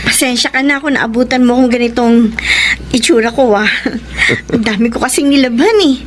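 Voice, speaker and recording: A woman acting in a Filipino radio drama as a soft-hearted young wife left by her husband, with a Filipino accent and an expressive, plaintive delivery. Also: fairly high pitch, fairly quick, a little hiss or hum, close to an everyday voice. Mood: friendly, apologetic. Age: young adult. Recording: music behind the voice.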